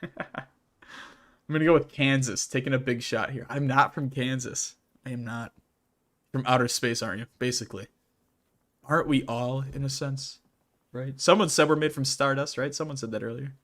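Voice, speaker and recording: A man's voice: medium pitch, fairly even intonation, moderate pace, a bit bright. A man from the Midwestern United States with a Midwestern American accent, streaming a game and casually answering chat messages with frequent laughter. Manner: reads chat messages aloud and answers them, laughing often. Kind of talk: casual livestream chat while gaming.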